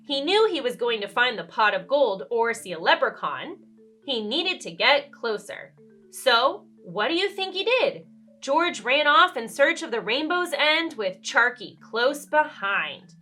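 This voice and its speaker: A woman reads a storybook to young children with dramatic emphasis. Her voice is high and bright and she takes her time, over faint music. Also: very animated, unhurried. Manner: dramatic emphasis, asks the listener questions. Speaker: children's read-aloud storyteller.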